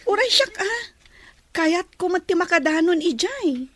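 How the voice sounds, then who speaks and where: Frank nosy young best friend woman acting, high voice that swings around a lot, audio drama. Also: very animated, unhurried, crowd noise, heavily acted.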